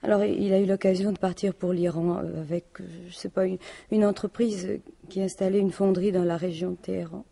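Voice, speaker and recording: An actress playing a grieving, plain-spoken widow in a French radio detective drama, speaking haltingly. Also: female voice, medium pitch, steady tone, gravelly, dark tone. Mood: subdued, halting. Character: grieving, indignant widow of a murdered businessman.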